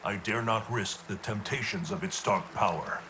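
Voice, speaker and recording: An actor playing a solemn, formal ancient thunder god in a video game. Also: male voice, lowish voice, moderate pace, close to an everyday voice. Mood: grave. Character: grave, ancient male thunder god protector. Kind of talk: video game cutscene acting. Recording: clear ambient noise behind.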